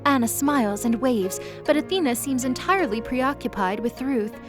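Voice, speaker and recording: Woman narrating, slightly high voice. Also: normal speed, over music. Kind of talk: scripted story narration.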